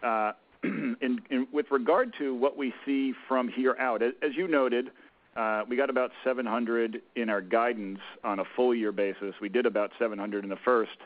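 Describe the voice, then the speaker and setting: Croaky man on a phone line. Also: mid-range voice, moderate pace. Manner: hesitant, many restarts. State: croaky from a cold. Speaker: company executive answering analysts. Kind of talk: earnings call answers.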